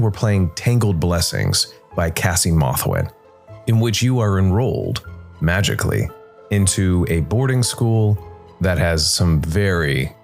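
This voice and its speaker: A man's voice: deep voice, gravelly. A man hosting a podcast and reading scripted story narration.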